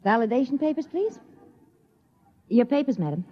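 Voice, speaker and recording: An actress playing a polite, efficient stewardess on a moon rocket in a 1950s radio drama. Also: female voice, sits high, expressive, normal speed. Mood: brisk, polite. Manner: formal, efficient, official. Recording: dull 1950s radio recording.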